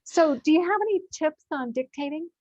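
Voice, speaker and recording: A woman's voice: sits high, a little fast, a little mellow, muffled recording. A woman hosting a casual, conversational interview. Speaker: interviewer, host.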